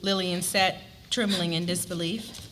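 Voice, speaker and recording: A woman's voice: fairly low pitch, fairly even intonation, a little slow, fairly bright tone. A woman narrating a scripted story expressively at a live dramatic reading. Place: live event before an audience. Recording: live reading with clear ambient noise.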